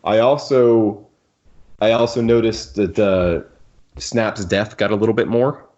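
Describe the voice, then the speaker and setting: A man's voice: on the low side, steady tone, unhurried, darkish tone, slight background noise. A man chatting casually and keenly as a podcast co-host about fan details. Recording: dull, lo-fi microphone sound.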